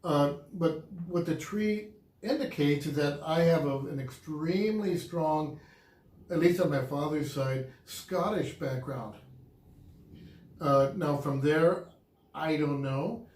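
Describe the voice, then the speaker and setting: A man's voice: fairly high pitch, slow pace, darkish tone. A man co-hosting a casual couple's vlog, talking in an upbeat, chatty way and laughing easily. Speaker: vlog co-host. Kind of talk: casual chat. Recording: echoey room.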